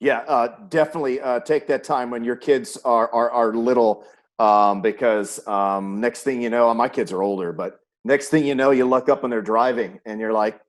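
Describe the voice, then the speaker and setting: A man's voice: medium pitch, fairly even intonation, moderate pace. A middle-aged American man hosting a conversational sports interview. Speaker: sports interview host, play-by-play announcer.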